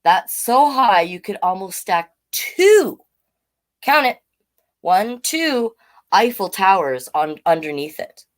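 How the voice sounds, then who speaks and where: A woman's voice: medium pitch, big pitch swings, slow. A woman hosting a children's storytime livestream, chatting casually and reading out facts, often getting sidetracked and correcting herself.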